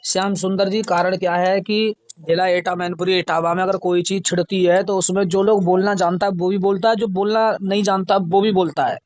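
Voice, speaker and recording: A man's voice: sits high, flat delivery, normal speed, a little mellow. A man talking casually in a recorded phone conversation. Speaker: religious storyteller and singer with a studio. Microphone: phone call. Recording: dull lo-fi recorded phone call.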